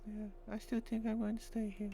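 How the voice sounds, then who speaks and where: A man's voice: high-pitched, monotone, fairly quick, vocal fry, dark tone, a little hiss or hum, pitched above the performer's own voice. A man voicing a wary, irritable young woman who protests and questions, in a visual novel. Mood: reluctant.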